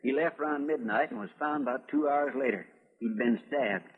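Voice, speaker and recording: An earnest American frontier deputy in a radio western. He is deferential and plain-spoken, with a husky edge. The old recording is narrow and tinny with long reverb. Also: mid-range voice, brisk. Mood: earnest, reporting.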